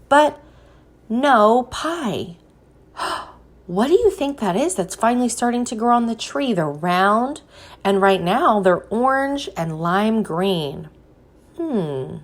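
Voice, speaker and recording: Slow-paced woman reading to children. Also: mid-range voice. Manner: slow, deliberate, encouraging prompts. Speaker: teacher reading a picture book aloud. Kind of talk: children's read-aloud with questions to young listeners.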